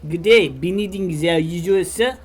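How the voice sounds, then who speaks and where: A man acting a high-voiced, simple young grocer. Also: normal speed. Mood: obliging. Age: young adult. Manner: polite, servile, simple. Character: dim, deferential young male village grocer. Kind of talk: audio drama dialogue.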